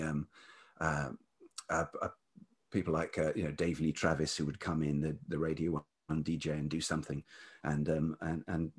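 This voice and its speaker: A middle-aged man from the UK speaks with a very low, husky voice. He tells long stories from his working life, stopping often to restart his sentences. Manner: halting, many ums and restarts. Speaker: podcast guest, writer and producer. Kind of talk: relaxed storytelling interview.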